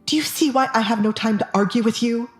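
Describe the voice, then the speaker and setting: A woman's voice: fairly high pitch, quick pace, echoey room, soft background music, pitched above the performer's own voice, close to an everyday voice. A woman playing a weary, irritable middle-aged husband with secrets, grumbling dismissively in an audio drama. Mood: troubled.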